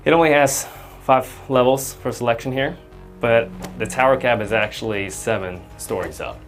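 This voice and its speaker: A man's voice: mid-range voice, normal speed. A man narrating an explanatory guided tour as an air traffic controller, in a plain, methodical way. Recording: music behind the voice. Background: music.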